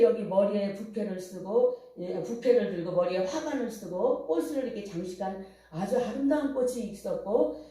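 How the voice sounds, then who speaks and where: A woman's voice: medium pitch, dark tone. A middle-aged woman pastor preaching a revival sermon, telling stories and urging the congregation to repent. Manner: preaching with storytelling, fervent calls to repent. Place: church hall. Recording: very echoey hall, far from the mic.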